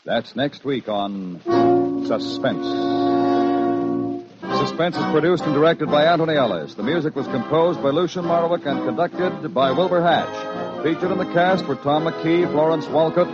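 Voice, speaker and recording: A man's voice: on the high side, normal speed. A man reading scripted closing credits as an old-time radio announcer. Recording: dull vintage radio, loud music behind. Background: loud music.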